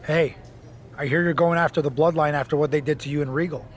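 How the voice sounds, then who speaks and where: Man playing an earnest, higher-voiced wrestler ally. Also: steady tone, quick pace, slight background noise, natural voice. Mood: earnest warning. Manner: urgent, persuasive. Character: earnest, cautioning male wrestler, loyal would-be ally. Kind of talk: scripted wrestling game cutscene acting.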